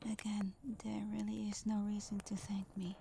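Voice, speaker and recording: A woman voicing a shy, gentle young female fox spirit in a soft, hesitant, breathy half-whisper for an ASMR roleplay. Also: mid-range voice, completely flat delivery, moderate pace, natural voice. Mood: gently teasing. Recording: faint background noise and ambience.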